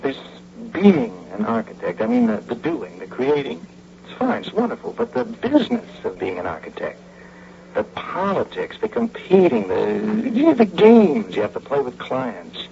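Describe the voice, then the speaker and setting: An actor playing an easygoing, bookish out-of-work architect in a radio drama, musing earnestly and haltingly. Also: male voice, on the high side, huge pitch swings, creaky, crowd noise, full character voice. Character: easygoing, bookish unemployed architect, quietly scheming enthusiast. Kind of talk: old-time radio drama acting, conversational. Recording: noisy dull vintage radio broadcast recording.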